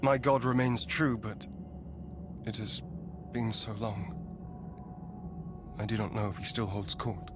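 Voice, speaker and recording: An actor playing an earnest, weary immortal warrior guardian, speaking formally in a video game. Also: male voice, lowish voice, unhurried, pauses often, over loud music, lightly acted. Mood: grave. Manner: grave, formal. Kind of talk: video game character acting.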